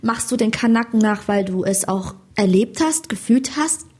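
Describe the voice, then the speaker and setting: Husky young Hessian woman, animated and slangy. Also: fairly high pitch, normal speed. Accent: Hessian German. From Hesse, Germany. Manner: animated, slangy, keeps asking "weißt du?". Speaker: stand-up comedian, guest. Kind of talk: casual interview chat.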